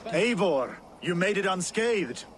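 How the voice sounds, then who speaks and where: An earnest rebel captain in a video game drama, with a slightly high voice and determined delivery. Faint noise runs behind. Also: male voice, very animated, takes it slowly, a bit bright, exaggerated voice.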